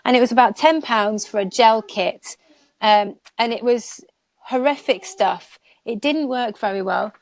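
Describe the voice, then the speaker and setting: A woman's voice: fairly high pitch, measured pace, dry recording. A woman in her thirties, a nail technician chatting casually as a guest and rambling with laughs. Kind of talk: casual live chat.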